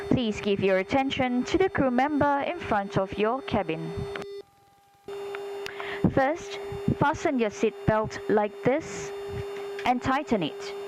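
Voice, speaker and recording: A woman flight attendant reading scripted airline safety announcements in a formal, measured, instructional way. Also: a little high. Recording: clear engine hum behind cabin announcement. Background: steady aircraft hum.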